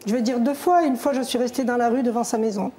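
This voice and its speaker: A middle-aged woman from Algeria talks as a guest on a TV show, speaking candidly and at a measured pace. Her voice is a little high but warm and mellow. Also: a little hiss or hum. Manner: candid, measured telling with pauses. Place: television studio.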